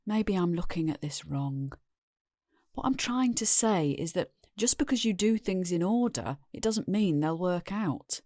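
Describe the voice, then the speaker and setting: Casting: middle-aged British mother, world-weary and defensive, slightly low pitch, dry close sound, confessional radio drama. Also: animated, a little slow, dark tone, dry recording. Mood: weary, disappointed. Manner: plain-spoken, world-weary. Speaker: actor in an audio drama. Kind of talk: confessional audio drama monologue.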